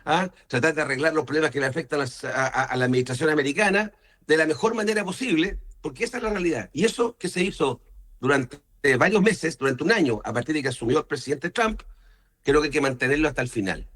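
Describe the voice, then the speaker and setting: A man's voice: on the high side, quick pace, fairly bright tone. A man from Chile with a Chilean accent, speaking as a senator in an interview and punctuating his points with short questioning tags. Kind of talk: political interview, explaining opinions.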